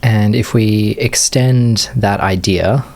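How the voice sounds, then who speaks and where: Male meditation teacher, low register, very slow delivery, short phrases separated by long pauses. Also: creaky, a little mellow. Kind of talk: guided meditation, unscripted gentle instruction.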